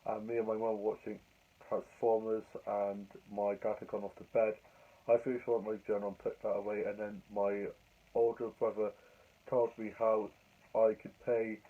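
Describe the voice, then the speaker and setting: A young man with a croaky cold voice, rambling chattily through his diary vlog. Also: on the low side. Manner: chatty, rambling, fillers and restarts. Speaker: vlogger. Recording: tinny phone-like sound.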